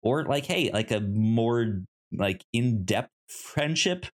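A man speaks at a middling pitch in a casual podcast discussion. He stutters often, repeating sounds and short words, and rambles informally as he works toward his point. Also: expressive, slow. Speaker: podcast co-host. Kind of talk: casual conversational film discussion.

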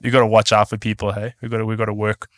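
A man's voice: on the low side, level tone, racing, dry recording, clean studio sound. A man hosting a podcast interview, chatty and digressive, leading into his questions. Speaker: podcast host. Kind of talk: interview host, casual chat.

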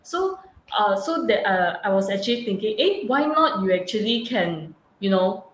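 A young woman with a Malaysian accent, answering interview questions casually in halting, repetitive phrasing. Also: medium pitch, sing-song. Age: young adult. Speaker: student entrepreneur being interviewed. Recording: echoey room with clear background noise.

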